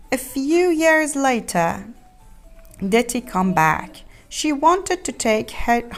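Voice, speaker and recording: A woman reads a children's story aloud, haltingly, repeating and explaining words as she goes. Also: fairly high pitch. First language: not English.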